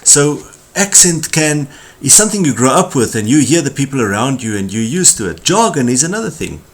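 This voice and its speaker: A man's voice: medium pitch, big pitch swings, brisk. A man with a South African accent giving a communication skills tutorial, explaining ideas in a conversational way with emphatic asides. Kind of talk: tutorial lecture.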